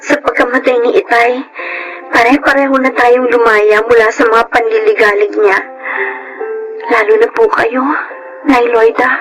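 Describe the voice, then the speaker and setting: A woman's voice: fairly high pitch, steady tone, normal speed, pauses often, music in the background, natural voice, poor audio. A woman playing a hurt, outspoken young adult daughter, earnest and emotional in a Filipino radio drama. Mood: relieved, emotional.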